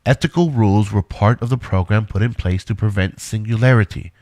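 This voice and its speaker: A man's voice: on the low side, brisk, darkish tone, dry recording, lightly acted. A man playing an earnest scientist who defends his invention in an audio drama. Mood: explaining, uneasy.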